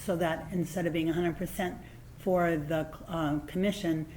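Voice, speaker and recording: Low-voiced woman, commission clerk. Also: fairly even intonation, a little mellow, slight background noise, low-quality recording. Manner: halting, explanatory. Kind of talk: public meeting discussion.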